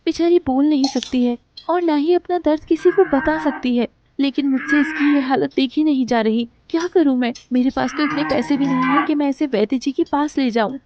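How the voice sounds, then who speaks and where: A woman's voice: high-pitched, moderate pace, hardly any pauses, muffled recording, background noise, natural voice. A woman voicing a poor, honest, kind-hearted young woman who speaks earnestly and emotionally.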